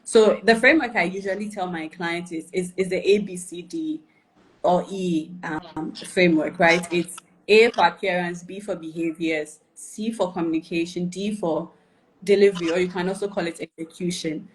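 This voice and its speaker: A woman's voice: lowish voice, fairly even intonation, moderate pace, a little hiss or hum, poor audio. A woman coaching on personal branding in a live video chat, explaining patiently and often checking that the listener follows. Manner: explanatory, checks for understanding with "right?" and "okay?"; builds points with repeated questions and enumerations.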